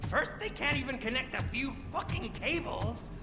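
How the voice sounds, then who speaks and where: Irritable game thug; creaky, swooping, with long reverb and music. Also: male voice, very high voice, animated, moderate pace. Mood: irritated. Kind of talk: video game cutscene acting. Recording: echoey hall, loud music.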